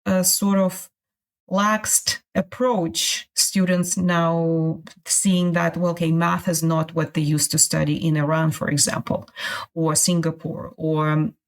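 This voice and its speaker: A middle-aged woman from Russia, a guest on a podcast interview, talking in halting phrases with many restarts. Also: lowish voice, level tone. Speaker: guest, immigration consultant.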